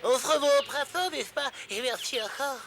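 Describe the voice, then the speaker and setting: High, creaky cartoon water rat. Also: male voice, moderate pace, noisy background. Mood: polite. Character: earnest, polite male water rat. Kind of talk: French cartoon dub acting.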